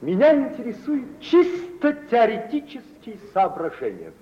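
An actor playing a suave, proud gentleman and reproachful former lover in a Russian radio play, with theatrical, declamatory delivery. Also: very high voice, wildly swooping pitch, a little slow, heavily acted. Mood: probing. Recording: muffled, noisy 1950s radio play recording.

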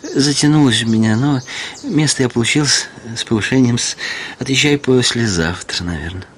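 An actor playing a meek, sly, obsequious widower with quiet spite and hesitant politeness in a radio drama. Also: male voice, medium pitch, moderate pace, a little hiss or hum, close to an everyday voice. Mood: sly, ingratiating. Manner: hesitant, ingratiating, stammering politeness. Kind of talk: Russian radio drama acting.

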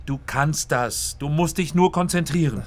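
A man's voice: fairly high pitch, normal speed, natural voice. An actor playing a driven film director who urges his assistant on, in a radio drama. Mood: urgent.

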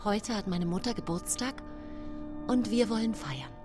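An actress playing a scheming, sensual nineteenth-century widow in a radio drama monologue, intimate and breathy in her confiding. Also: medium pitch, lively intonation, measured pace, natural voice. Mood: subdued. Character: scheming early-19th-century German widow, sensual and self-satisfied. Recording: music bed behind the voice. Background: music.

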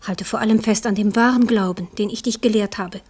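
A woman plays a stern, devout queen mother whose words come earnest and firm, often dropping to a hushed whisper. She sounds grave and protective in a 1970s radio play. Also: mid-range voice, fairly even intonation, brisk, husky, lightly acted. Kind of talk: 1970s radio drama acting.

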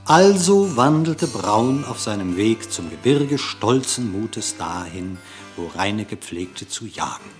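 A man's voice: on the low side, big pitch swings, slow, soft background music. A man narrating a verse animal fable in a radio play, with declamatory, theatrical storytelling.

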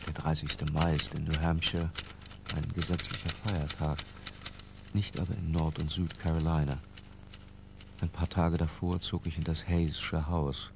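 An actor playing an obsessive, lyrical middle-aged intellectual who confesses in a hushed, deliberate literary radio drama narration. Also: male voice, a bass voice, level tone, gravelly, heavy background noise, exaggerated voice. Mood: measured confiding. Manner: hushed, deliberate, breaking into near-whispers.

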